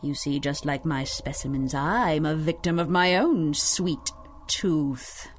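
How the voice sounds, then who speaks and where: An actress playing an ancient, life-stealing sorceress who speaks in a silky, menacing, gloating way, in a 1930s-style radio drama. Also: female voice, low-pitched, takes it slowly, slight background noise. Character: ancient scheming sorceress woman, over sixty, life-stealing villain.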